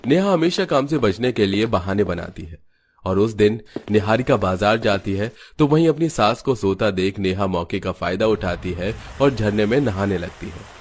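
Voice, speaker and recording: A man's voice: lowish voice, animated, normal speed, slight background noise. A man reading scripted narration for a family story.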